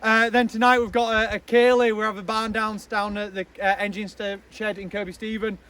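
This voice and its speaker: A young man giving a loud, chatty speech outdoors at a charity launch, with many ums and joking asides. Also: high-pitched, level tone, a little fast. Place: outdoors in the snow with a crowd. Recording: noisy outdoor recording.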